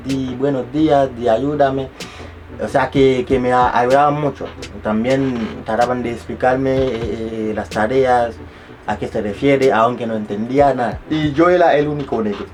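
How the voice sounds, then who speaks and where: A young man speaking Spanish as a second language, telling a casual story with rambling restarts and self-mocking asides. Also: mid-range voice. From abroad, not Chile. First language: not Spanish. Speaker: vlogger.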